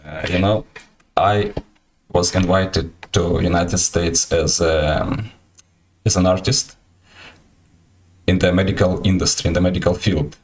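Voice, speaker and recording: Ukrainian-accented male host, very low voice, halting casual livestream chat. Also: steady tone. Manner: halting, many fillers and restarts.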